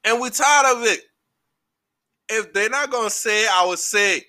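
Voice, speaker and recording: Liberian man, Liberian accent, podcast host, emphatic heated rant, high voice. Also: moderate pace. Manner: animated, emphatic, repeats words when heated. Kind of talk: ranting solo podcast monologue.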